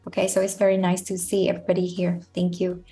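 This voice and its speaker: A woman from Vietnam introducing herself on an online call, speaking in a hesitant, halting way. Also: fairly low pitch, completely flat delivery, warm tone, faint music behind. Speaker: community manager.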